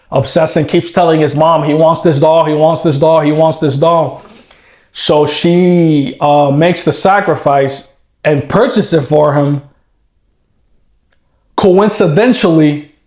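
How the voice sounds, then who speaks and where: A man's voice: fairly high pitch, a little mellow, echoey room. A man from Rico, rambling casually in a horror movie review and often tossing in "right?" and "bro". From Puerto Rico. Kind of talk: casual rant to camera.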